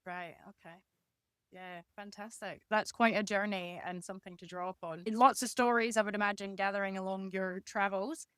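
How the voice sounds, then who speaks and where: A woman's voice: medium pitch, steady tone, normal speed, smooth, dry recording. Encouraging woman podcast host, dry close sound. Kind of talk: conversational interviewing.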